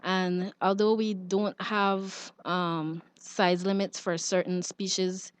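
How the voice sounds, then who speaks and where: A woman's voice: lowish voice, flat delivery, slow, smooth, dry recording. A woman with a Belizean accent explaining things informally as an expert guest, plain-spoken and advisory.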